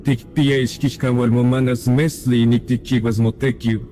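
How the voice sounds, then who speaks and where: Man as a slow, mellow divine guide. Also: medium pitch, flat delivery, a little hiss or hum, natural voice. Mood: grave, urging. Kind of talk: fan-dubbed animated drama.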